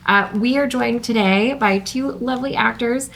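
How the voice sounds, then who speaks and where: A woman's voice: fairly high pitch, normal speed, vocal fry, a bit bright, a little hiss or hum. A woman hosting a screenplay table read and reading out material as its narrator. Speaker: host and stage-direction reader.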